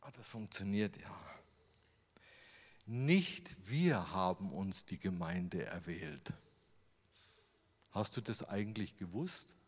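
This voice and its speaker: A man's voice: low-pitched, expressive, slow pace, pauses often, breathy edge. A German man giving a sermon with slides, in a conversational way, full of rhetorical questions. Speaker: preacher. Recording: long hall reverb.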